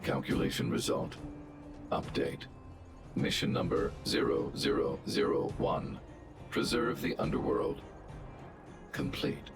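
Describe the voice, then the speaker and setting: Game robot guardian: extremely deep, mechanical, clipped and emotionless, music underneath. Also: male voice, little pitch movement, a little slow, long pauses. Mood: flat, calculating. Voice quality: synthetic, mechanical. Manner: clipped, emotionless computed announcements. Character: stern, logical giant guardian robot. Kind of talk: video game voice acting.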